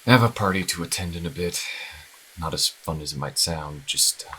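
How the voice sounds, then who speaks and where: A man playing a troubled, conflicted young priest in an audio drama, halting and brooding. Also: low-pitched, lively intonation, bright, forceful tone, breathy edge, full character voice, poor audio. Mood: guarded. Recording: faint background noise.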